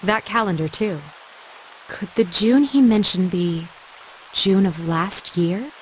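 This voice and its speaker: A woman's voice: mid-range voice, slow, a little hiss or hum, lightly acted, poor audio. A woman voicing a composed, analytical older schoolgirl in an English anime game dub. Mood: pensive.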